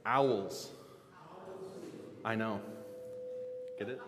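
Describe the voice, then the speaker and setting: A male pastor giving a casual, joking sermon, often restarting and filling pauses with "um". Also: on the low side. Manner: relaxed, joking, halting with fillers. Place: church sanctuary. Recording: long reverb of a church hall.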